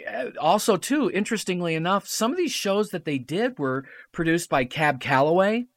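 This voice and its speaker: A man talking casually as a podcast guest, explaining history in a halting, stop-and-start way. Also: sits high, lively intonation, dry recording.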